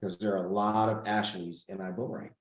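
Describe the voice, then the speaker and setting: A man's voice: low-pitched, little pitch movement, fairly quick, reverberant. A man hosting a casual group coaching call over video, upbeat, thinking aloud and reading out figures. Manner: upbeat, reads out numbers, thinks aloud while clicking.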